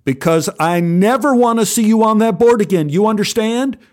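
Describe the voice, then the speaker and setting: A man's voice: high-pitched, moderate pace, pitched above the performer's own voice, lightly acted. A man voicing a stern, exasperated pool lifeguard barking orders in a told story. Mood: threatening. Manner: loud, barking, commanding. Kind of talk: acted dialogue in a told story.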